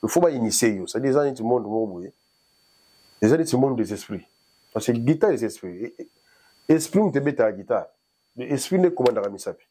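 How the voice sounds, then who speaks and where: A man's voice: mid-range voice, expressive, a little fast, dark tone, slightly rough. A man from Congo, a guest musician, chatting loosely in an interview and rambling as he switches between French and Lingala. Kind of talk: casual interview chat. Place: in Paris.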